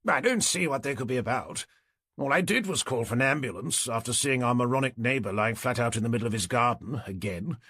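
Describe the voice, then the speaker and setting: A man's voice: medium pitch, animated, brisk, a bit bright, slightly rough, dry recording, studio quality. A man voicing an indignant, pompous middle-aged neighbour in an audiobook, with a clipped British accent. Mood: haughty. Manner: pompous, exasperated.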